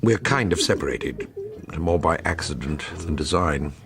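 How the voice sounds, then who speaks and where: An actor playing a diffident, wry painter in a radio drama, with a hesitant, tentative way of talking. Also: male voice, a bass voice, lively intonation, normal speed, fairly bright tone, exaggerated voice. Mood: tentative, probing. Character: diffident, wry male jobbing painter drawn into forgery. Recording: crowd chatter behind the voice.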